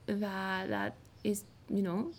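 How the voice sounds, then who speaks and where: A woman from Mexico with a Mexican accent, a native Spanish speaker, talking reflectively as an interview guest and often pausing to search for words. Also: medium pitch, sing-song, a little hiss or hum. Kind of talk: casual interview conversation.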